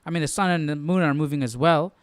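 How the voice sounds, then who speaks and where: A man's voice: fairly high pitch, fast talker, smooth, no room sound. A man hosting a live Bible study, thinking aloud in a conversational, questioning way. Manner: conversational, questioning, repeats himself for emphasis.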